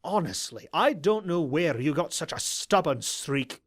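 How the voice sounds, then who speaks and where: A man's voice: a little high, expressive, unhurried. A man voicing a grizzled, haughty old male unicorn scholar in an audio drama, with a pompous, sarcastic, theatrical delivery. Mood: exasperated. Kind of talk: animated audio drama character voice.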